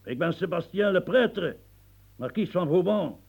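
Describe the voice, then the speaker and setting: A man's voice: high voice, animated, measured pace, creaky, husky, heavily acted. An actor playing a blunt, irritable old marquis in his seventies with a cold, dictating in a coarse, rustic way. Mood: proud, grumbling. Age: 70s. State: snotty cold, coughing. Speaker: elderly marshal dictating. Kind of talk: radio drama acting.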